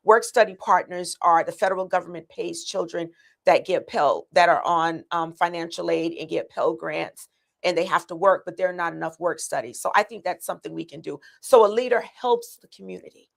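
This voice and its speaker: Earnest gravelly-voiced woman candidate. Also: fairly low pitch, brisk. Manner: earnest, many ums and restarts. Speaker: county commission candidate. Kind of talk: answering interview questions.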